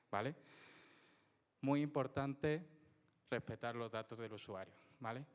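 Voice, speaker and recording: Smooth-voiced Spaniard explaining tech in a hall. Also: male voice, medium pitch. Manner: lively, explanatory, frequent "¿vale?" checks. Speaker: technical speaker. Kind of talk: conference presentation with audience questions. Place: conference hall. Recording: reverberant hall.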